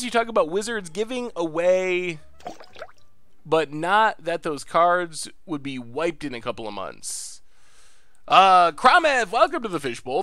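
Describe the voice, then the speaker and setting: A high-pitched man with a very lively, bouncy voice talks through a card game on a live stream, cheering and laughing freely. His voice sounds a bit congested and not quite itself. The sound is close and dry, with no room around it. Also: dry recording. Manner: excitable, laughs often, cheers at wins. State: a little congested, voice slightly off. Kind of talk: casual live-stream gameplay commentary.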